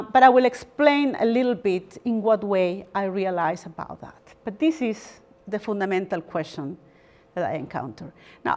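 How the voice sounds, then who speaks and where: A woman from Peru, a barrister giving a formal university lecture in a measured, thoughtful way with frequent pauses. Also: medium pitch. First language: Spanish.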